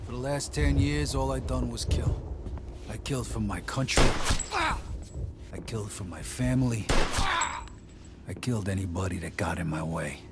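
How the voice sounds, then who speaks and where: An actor playing a weary young mobster and war veteran in a game cutscene, terse and hard. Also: male voice, medium pitch, very animated, measured pace, pauses often. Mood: grim. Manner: terse, weary, rises to anger. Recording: game cutscene over loud music.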